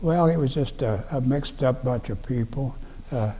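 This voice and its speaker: A man in his nineties from Ohio, recalling his youth in a slow, halting way with many restarts. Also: mid-range voice, warm tone, long reverb tail. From Ohio, USA. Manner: halting, plain-spoken, frequent restarts and fillers. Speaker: veteran interviewee. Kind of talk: oral history recollection. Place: library room.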